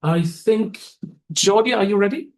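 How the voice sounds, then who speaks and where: A man hosting a webinar, presenting with many pauses and restarts, his voice going hoarse. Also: sits high, reverberant. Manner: halting, many fillers and restarts. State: losing his voice. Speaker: webinar host.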